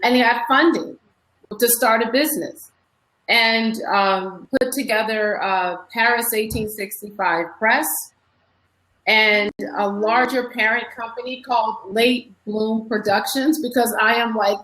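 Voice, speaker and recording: A woman's voice: fairly high pitch, unhurried, fairly bright tone. A woman in her fifties, an author chatting in an interview, talking in long rambling runs with restarts. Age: 50s. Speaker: author guest. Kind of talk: casual interview conversation. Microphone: online video call. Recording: echoey online call audio.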